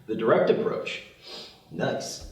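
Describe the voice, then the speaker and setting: A man's voice: takes it slowly, close to an everyday voice. A man at a script table read playing an easygoing young guy who talks casually and a little hesitantly. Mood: amused, caught off guard. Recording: very echoey room, distant mic.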